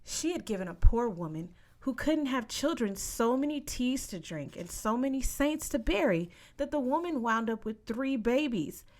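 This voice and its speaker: A woman reading a children's novel aloud as an expressive audiobook narrator. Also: on the high side, moderate pace, few pauses. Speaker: audiobook reader.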